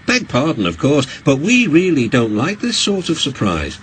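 A man's voice: a little high, huge pitch swings, normal speed, a little hiss or hum. A man voicing a sensible, teasing male tank engine in a children's storybook. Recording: music behind the voice.

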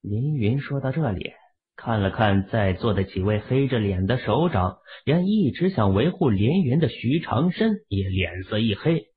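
A man's voice: fairly low pitch, lively intonation, normal speed, breathy edge. A man narrating a novel from a script in a dramatic storytelling style, with narrow, muffled sound. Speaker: audiobook narrator.

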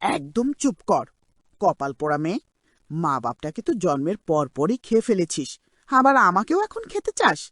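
A woman's voice: fairly low pitch, sing-song, normal speed, fairly bright tone, no echo, studio quality. A woman playing a cruel aunt in a cartoon drama, scolding and sarcastic in a harsh, nagging manner. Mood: threatening. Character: cruel, scolding aunt bullying her orphaned niece.

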